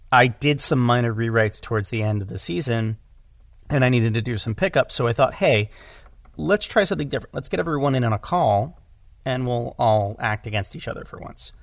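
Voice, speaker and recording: Man, average pitch, casual podcast conversation, halting and rephrasing, dry recording. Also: lively intonation, no echo. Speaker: podcast guest, audio drama director.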